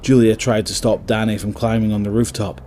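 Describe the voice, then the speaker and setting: A man's voice: fairly low pitch, flat delivery, fairly quick, darkish tone, a little hiss or hum. A man narrating a scripted dramatic story as the narrator of an audio drama.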